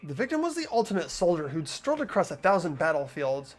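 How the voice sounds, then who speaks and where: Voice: mid-range voice, big pitch swings, fast talker, faint music behind. A performer voicing an earnest teenage schoolboy reasoning aloud in a fan-voiced game.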